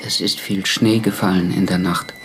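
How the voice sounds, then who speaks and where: A man acting a devoted, gentle attendant in a radio play, speaking in a hushed, careful, measured way. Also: low-pitched, steady tone, background noise, natural voice. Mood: grave, gentle. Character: devoted male attendant at a deathbed, gentle, grave.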